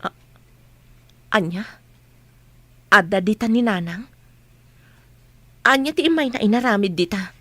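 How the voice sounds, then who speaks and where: A woman's voice: medium pitch, lively intonation, measured pace, pauses often, bright, forceful tone, dry recording. A woman playing a protective, burdened eldest sister in a radio drama, speaking in a guarded, serious way. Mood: troubled.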